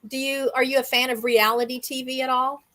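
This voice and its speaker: A woman's voice: sits high, little pitch movement, brisk, fairly bright tone. A woman casually interviewing a guest, asking informal questions in a conversational way. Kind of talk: casual chat.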